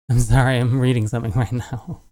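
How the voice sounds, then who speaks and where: A woman's voice: extremely low pitch, warm tone. A British woman streamer casually reading out and answering viewer comments in short, offhand replies.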